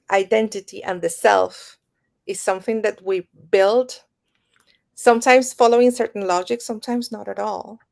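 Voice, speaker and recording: A woman's voice: fairly high pitch, creaky, a little mellow. A woman guest on a podcast, talking reflectively and building her ideas up in lists. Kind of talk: reflective conversational podcast talk.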